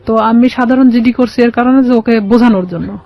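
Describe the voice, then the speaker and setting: A woman with a Bangladeshi accent recounting her own experience as an interview guest, informal and halting as she explains. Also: fairly high pitch, dark tone.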